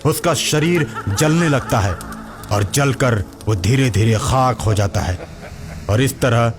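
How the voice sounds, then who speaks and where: Guy narrating a cartoon tale from a script, average pitch, faint music under him. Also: slow pace. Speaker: storyteller, narrator. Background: background music.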